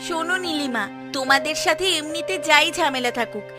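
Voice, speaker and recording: A woman's voice: high-pitched, fairly quick, over music, lightly acted. A woman voicing a fretting, scolding village housewife in a cartoon. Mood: indignant. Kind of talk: cartoon voice acting.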